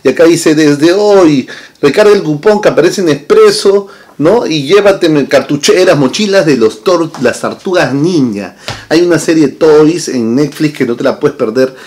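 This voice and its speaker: A man, chatting casually to camera as a vlog host in a room full of toy shelves, lively and full of tag questions. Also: on the high side, normal speed. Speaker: channel host. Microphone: fixed camera at desk distance.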